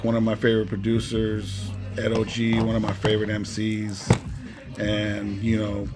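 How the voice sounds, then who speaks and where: A man casually presenting a collection in an informal way, often ending phrases with "man". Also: fairly low pitch. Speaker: music collector presenting cassettes. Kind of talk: casual collection showcase commentary. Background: music playing behind the voice.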